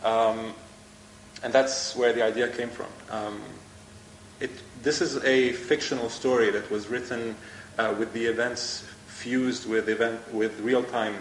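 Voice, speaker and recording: A man from Egypt, a native Arabic speaker, answering interview questions on stage in a halting way, often repeating words. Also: medium pitch, steady tone, slight background noise. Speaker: guest cartoonist. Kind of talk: live event interview. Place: event hall with audience. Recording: echoey room with faint ambience.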